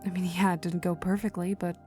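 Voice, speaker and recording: A woman voicing a cocky, teasing, gruffly protective young villain enforcer in an intimate ASMR roleplay, with a casual drawl that drops into whispers. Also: on the low side, flat delivery, quick pace, a little mellow, natural voice. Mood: wry, caring. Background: ambient music.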